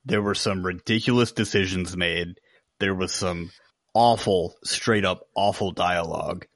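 A man's voice: sits low, fairly even intonation, unhurried, a bit bright, dry recording. A man co-hosting a podcast, giving a casual film review in blunt, colloquial words. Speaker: podcast co-host.

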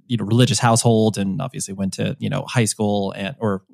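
A man's voice: fairly low pitch, steady tone, fairly quick, gravelly, a little mellow, dry recording, studio quality. A man hosting an interview, asking long, meandering questions in a casual way. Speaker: podcast host.

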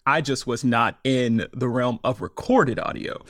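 A man who is a stage storyteller, talking casually in an interview, animated and often laughing as he acts out his own remarks. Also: medium pitch, unhurried, no echo, clean studio sound. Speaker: storyteller and podcaster, guest.

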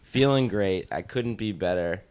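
A man co-hosting a podcast in a living room, chatting casually and joking around with deadpan teasing. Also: lowish voice, a little slow, clean tone. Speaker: podcast co-host. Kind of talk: casual chat.

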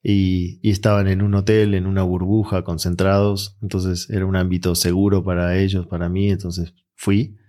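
Argentine male coach, interview guest; very deep, mellow voice, easygoing delivery with fillers. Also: normal speed, few pauses. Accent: Argentine Spanish. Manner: relaxed, reflective, with frequent fillers. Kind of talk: interview answers.

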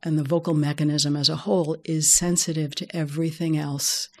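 A woman with a low voice, middle-aged and calm. She explains voice care in an unhurried interview, measured and a little wry. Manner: measured, explanatory, gently humorous. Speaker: voice care expert guest. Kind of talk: relaxed conversational interview.

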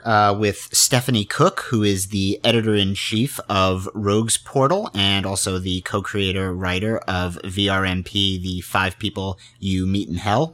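A man hosting a casual podcast interview, speaking in a halting, hesitant way as he introduces things and asks questions. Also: on the low side, a bit bright.

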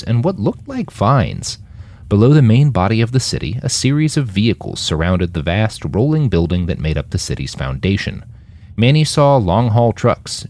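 Low-voiced, highly expressive male narrator. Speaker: author and narrator. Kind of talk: scripted fiction narration.

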